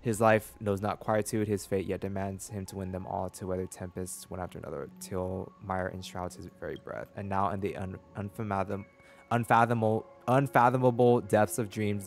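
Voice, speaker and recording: Young guy streamer, low creaky voice, sassy n dramatic, reacting to a game. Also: level tone, moderate pace, soft background music. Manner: theatrical, sassy slang, exclamatory. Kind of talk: live reaction commentary, reading game text aloud. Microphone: close streaming mic, seen on webcam.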